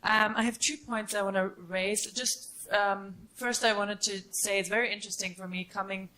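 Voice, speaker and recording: A woman's voice: medium pitch, fairly even intonation, moderate pace, bright, forceful tone, poor audio. A woman in her twenties from Norway, a student audience member giving a candid, unscripted comment and question at a debate.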